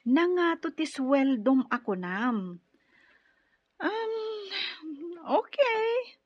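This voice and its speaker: A woman's voice: high-pitched, big pitch swings, extremely slow, no room sound, full character voice. An actress playing an affectionate, effusive, persuasive godmother in a radio drama. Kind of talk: Ilocano radio drama acting. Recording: dull, lo-fi radio drama audio.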